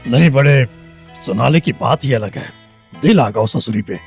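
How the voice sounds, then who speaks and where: Gravelly, hot-tempered bully, acted by a man. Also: mid-range voice, lively intonation, normal speed, a little mellow. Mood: brooding, aggrieved. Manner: coarse, rustic, swearing speech. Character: hot-tempered, bullying small-town man, lovesick and menacing. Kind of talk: Hindi audio drama acting. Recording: music bed under the voice.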